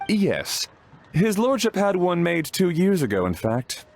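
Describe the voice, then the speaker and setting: Deferential butler, very animated intonation. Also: male voice, sits high, a little slow, vocal fry, exaggerated voice. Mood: polite, agreeable. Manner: formal, obliging. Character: deferential male household butler, polite and dutiful. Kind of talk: English video game voice acting.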